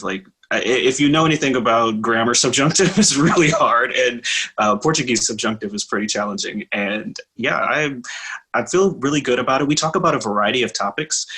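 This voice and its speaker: A middle-aged man co-hosting a casual show over a video call with a headset, telling his story in a thoughtful, measured way with fillers. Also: medium pitch, sharp tone, slightly rough. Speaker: co-host.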